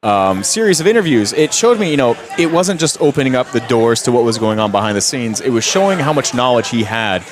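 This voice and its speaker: A man speaking in a measured, thoughtful way as an interview guest. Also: mid-range voice, animated. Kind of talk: casual interview chat.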